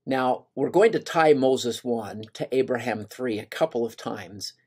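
A man's voice: mid-range voice, measured pace, darkish tone, breathy edge. An older man teaching a scripture lesson from a home office on a webcam, earnest and emphatic as he quotes verses. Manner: earnest, voices scripture lines with rising emphasis. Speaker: gospel study teacher. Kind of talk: scripture lesson over slides.

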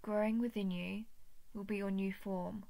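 A woman voicing a coldly menacing, falsely gentle alien parasite in an intimate horror audio roleplay, condescending, often slipping toward a breathy whisper. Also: mid-range voice, steady tone, natural voice. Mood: calm menace. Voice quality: creaky, breathy with frequent whispering. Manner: measured, condescending, menacing coaxing.

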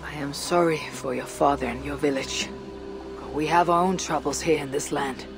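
Low, husky, stoic Viking woman leader. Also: fairly even intonation, moderate pace, over music. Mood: guarded. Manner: terse, commanding. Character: stoic, hardened female Viking clan leader. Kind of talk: video game cutscene acting.

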